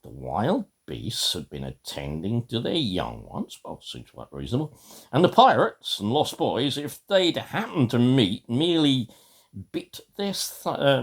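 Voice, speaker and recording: A man's voice: fairly low pitch, creaky, slightly rough. An older man reading a children's story theatrically, slipping into dry, muttered asides. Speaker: amateur storybook reader.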